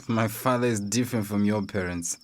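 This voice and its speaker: An actor playing a dejected young son in a radio drama, with a downcast, self-doubting manner. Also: male voice, fairly low pitch, moderate pace, close to an everyday voice.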